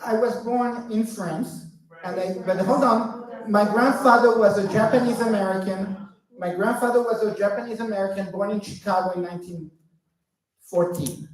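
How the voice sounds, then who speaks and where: A man's voice: high voice, steady tone, normal speed. A man from New York arguing animatedly on a panel, interrupting himself with "hold on". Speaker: party chair panelist. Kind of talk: panel debate. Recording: very echoey room, distant mic.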